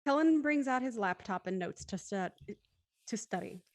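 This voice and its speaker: A woman reads scripted narration as the narrator of a drama. Her voice is mid-pitched, with a dry, close sound. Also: lively intonation, moderate pace, dry recording. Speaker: stage-direction narrator. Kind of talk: scripted narration, reading aloud.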